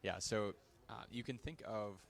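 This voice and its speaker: A man walks through his company's software in a casual live chat, friendly and smooth like a practiced salesman. His voice sits a little low. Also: normal speed, crowd noise. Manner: friendly, polished, salesy explainer. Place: conference venue, indoors.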